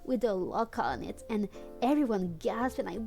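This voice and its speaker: A woman's voice: mid-range voice, expressive, moderate pace, creaky, a little mellow, faint music behind. A woman livestreaming a read-aloud of fan fiction on a headset, playful and mock-dramatic, with amused asides. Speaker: streamer and host.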